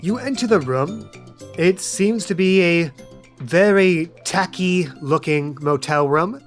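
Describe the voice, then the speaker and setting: A man's voice: high-pitched, animated, slow pace, faint music behind. A man hosting a podcast as game master, with casual narration in a tabletop game style.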